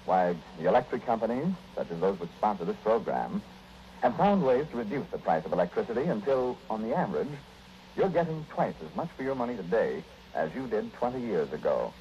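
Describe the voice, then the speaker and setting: Animated male 1940s radio announcer. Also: on the low side, fairly quick, a little hiss or hum. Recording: muffled 1940s radio recording.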